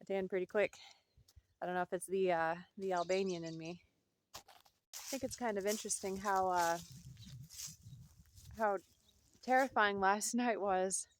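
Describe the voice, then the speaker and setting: A woman's voice: mid-range voice, moderate pace, long pauses, slight background noise. A woman chatting casually to her camera at home, rambling with fillers and repeating herself as she talks. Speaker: vlogger.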